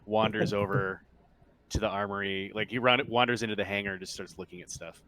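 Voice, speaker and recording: A man's voice: lowish voice, fairly even intonation, fast. A man casually narrating a tabletop roleplaying game as the game master. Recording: clear background noise, clicks and typing.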